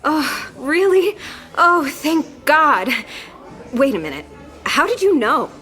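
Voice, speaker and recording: Earnest, higher-voiced anxious young woman. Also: big pitch swings, takes it slowly, bright, forceful tone, heavily acted. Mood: relieved, startled. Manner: earnest, emotional, defensive. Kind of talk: scripted audio drama dialogue.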